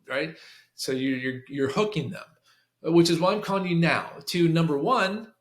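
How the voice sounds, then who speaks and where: Echoey higher-voiced man giving training. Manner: halting, repeats and restarts phrases, often checks with "right?". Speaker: sales call trainer for a clinic. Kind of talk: instructional screen-share walkthrough with demonstrated scripts. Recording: roomy, echoing room sound.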